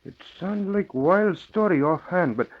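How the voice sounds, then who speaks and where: An actor playing a loyal, deferential manservant in an old radio drama, speaking halting broken English. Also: male voice, high voice, expressive, dull audio. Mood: thoughtful, hesitant. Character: loyal, soft-spoken foreign manservant, sidekick to a vigilante.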